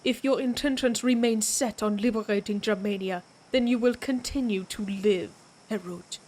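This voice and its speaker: A woman playing a proud, menacing vampire warrior in an audio roleplay, speaking deliberately with an imperious, taunting manner. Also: medium pitch, darkish tone, slight background noise, close to an everyday voice. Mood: guarded, proud.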